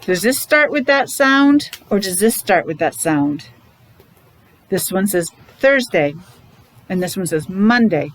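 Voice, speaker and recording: A woman's voice: mid-range voice, expressive, takes it slowly. A middle-aged woman teaching young children in an interactive morning lesson, using simple repeated phrases, questions and sounded-out letters. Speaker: preschool or special education teacher leading morning meeting.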